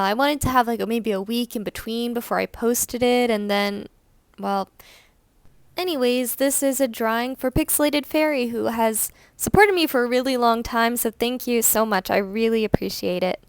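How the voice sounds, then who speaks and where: A woman's voice: fairly high pitch, normal speed, clear, resonant tone, no room sound. A young woman chatting casually in a rambling voice-over about her drawing, with fillers and light laughs. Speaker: art vlogger. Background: soft background music.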